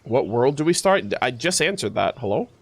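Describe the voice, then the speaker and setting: A man's voice: mid-range voice, very animated, fairly quick, husky. A man streaming video games, chatting casually with viewers in informal, teasing gamer slang. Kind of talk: casual livestream chat with viewers.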